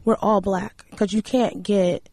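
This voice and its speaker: A woman's voice: mid-range voice, fairly even intonation, a little slow, darkish tone, dry recording. A woman co-hosting a casual podcast who pushes back insistently in colloquial speech. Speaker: podcast co-host.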